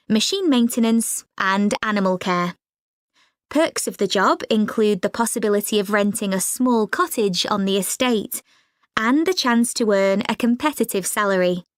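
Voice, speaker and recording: A woman playing a professional recruitment agent, giving a clear, measured, scripted informational talk. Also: fairly high pitch, dry recording, clean studio sound, natural voice. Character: professional female recruitment agent presenting job vacancies.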